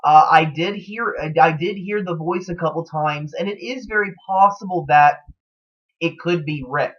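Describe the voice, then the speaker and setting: A man's voice: a little high, moderate pace. A man giving casual, speculative commentary as a vlog host, in a hesitant, rambling manner. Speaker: host of a TV show theory vlog. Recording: dull, lo-fi recording.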